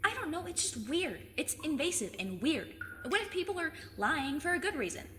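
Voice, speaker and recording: Woman as a plucky, high-voiced, bright teenage girl. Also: big pitch swings, moderate pace, faint music behind, exaggerated voice. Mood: defensive, flustered. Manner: emphatic, defensive, earnest. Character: plucky, stubborn fifteen-year-old girl with truth-sensing curse. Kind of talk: audio drama acting. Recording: long cave reverb, faint noise.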